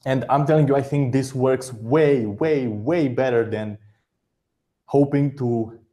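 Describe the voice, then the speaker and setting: A man hosting an interview, giving direct, encouraging advice in quick bursts, often repeating words as he talks. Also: medium pitch, warm tone. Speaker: podcast host.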